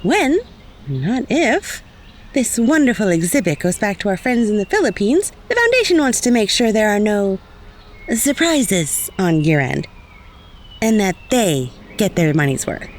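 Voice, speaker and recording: A woman's voice: medium pitch, very animated, measured pace, slight background noise. An actress playing a cheeky, self-assured young female inspector in an audio drama, drily amused and teasing. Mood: smug.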